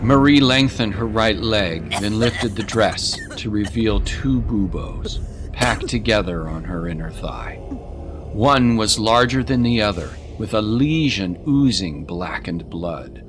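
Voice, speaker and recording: A man's voice: lowish voice, expressive, measured pace, background noise. A man narrating a scripted audio drama in a dramatic, literary manner.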